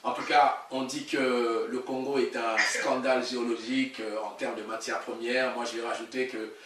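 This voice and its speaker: A man's voice: mid-range voice, little pitch movement, moderate pace, background noise. A man hosting an interview, speaking formally and politely with frequent hesitations. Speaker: interviewer host. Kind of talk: interview questions and sign-off. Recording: roomy distant audio.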